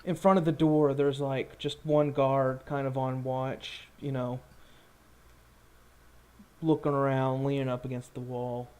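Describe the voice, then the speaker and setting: A man acting as game master, improvising scene descriptions for a tabletop roleplaying game in a halting way. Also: medium pitch, flat delivery, a little mellow.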